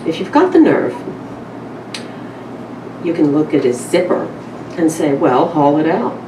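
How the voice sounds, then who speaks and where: A woman's voice: low voice, big pitch swings, unhurried. A woman speaking informally at a live literary event, with a candid, wry, conversational way of addressing the room. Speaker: publisher introducing and reading a story at a literary event. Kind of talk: informal live talk and story reading. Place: indoor live event room with small audience. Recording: roomy, distant mic with clear background noise.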